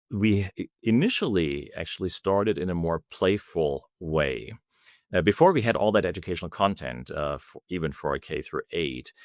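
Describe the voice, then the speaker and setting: A middle-aged man with a German accent, speaking in an unusually deep, low register. He answers interview questions in a measured, explanatory way. The recording is close and dry, with no room sound. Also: no echo. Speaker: guest, company founder.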